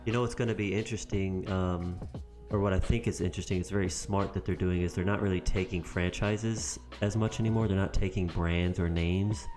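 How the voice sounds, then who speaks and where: A man co-hosting a podcast in relaxed casual chat, with laughing asides. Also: low-pitched, a little fast, hardly any pauses, soft background music. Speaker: podcast co-host.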